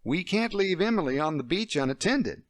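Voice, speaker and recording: A man's voice: on the high side, very animated, normal speed, pitched above the performer's own voice. A man reading a story aloud, voicing a patient father who speaks firmly while holding back frustration. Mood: frustrated. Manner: restrained, firm.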